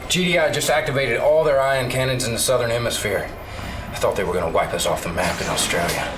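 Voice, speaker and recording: A man's voice: medium pitch, fast talker, roomy sound, far from the mic, noisy background, natural voice. A man playing a cynical, hot-headed soldier of a rebel brotherhood in a video game cutscene, slangy and sarcastic. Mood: alarmed, urgent. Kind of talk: video game cutscene acting, colloquial and gritty.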